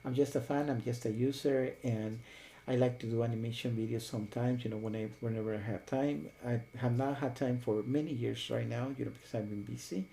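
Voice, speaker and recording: A man talking casually and unscripted as a software reviewer, rambling and often checking in with the listener. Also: medium pitch, steady tone, a little fast, gravelly. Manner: rambling, repeatedly checks with "okay?" and "you know".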